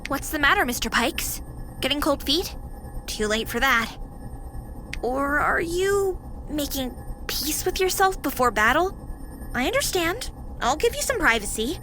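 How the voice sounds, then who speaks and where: Sassy, high-pitched young AI girl. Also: big pitch swings, slow pace, long pauses, pushed and bright, soft background music, exaggerated voice. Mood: mocking. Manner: playful, mock-formal, teasing. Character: teasing, sassy young female AI companion. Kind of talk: video game voice acting.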